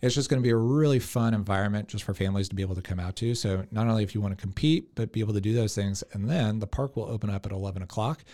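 A man's voice: lowish voice, warm tone. A man speaking as an interview guest in a casual, upbeat, promotional way. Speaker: interview guest, sports commission executive director.

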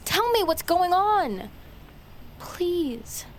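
An actress playing a fed-up, defiant teenage daughter in an audio drama, sarcastic and confrontational. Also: female voice, very high voice, sing-song, drawn-out words, a little hiss or hum, exaggerated voice. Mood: angry, pleading. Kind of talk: audio fiction acting.

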